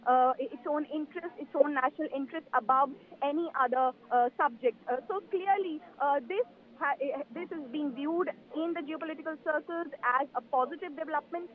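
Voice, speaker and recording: Indian lady news correspondent, really high voice, halting and wordy, sounds like a phone call. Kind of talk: live unscripted news report. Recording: thin telephone-like line.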